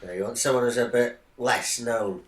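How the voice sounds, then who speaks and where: A man's voice: mid-range voice, fairly even intonation, normal speed. A man chiming in during a casual podcast conversation. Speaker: podcast panellist. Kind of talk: casual chat, interjecting.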